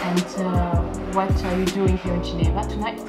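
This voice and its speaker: Female interviewer, lower register, halting questions, roomy echoing sound. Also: level tone, over loud music.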